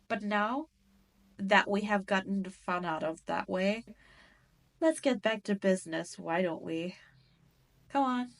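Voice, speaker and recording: A woman's voice: mid-range voice, close to an everyday voice. A haughty, possessive demon queen from a roleplay audio drama, performed by a woman. She speaks at a very leisurely pace in a mid-range female voice, stretching out her taunts. Her delivery is mocking and condescending, aimed directly at the listener. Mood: teasing, commanding. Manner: teasing, condescending, drawn-out delivery.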